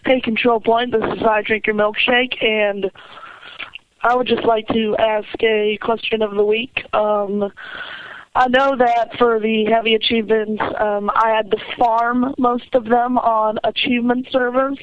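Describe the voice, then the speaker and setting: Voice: medium pitch, flat delivery, measured pace. A caller leaving a casual recorded question for a show, in a lo-fi call-in recording. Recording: dull lo-fi voicemail-style call.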